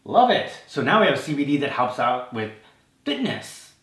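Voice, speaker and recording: A man's voice: medium pitch, sing-song, normal speed, fairly bright tone, echoey room. A man co-hosting a talk show, reacting with short enthusiastic remarks and welcoming the guest. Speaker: co-host.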